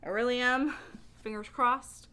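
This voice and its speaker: A woman talking in a casual, chatty book vlog style, with a giggly, animated and informal, rambling manner. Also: on the high side, unhurried, slight background noise. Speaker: book reviewer vlogger.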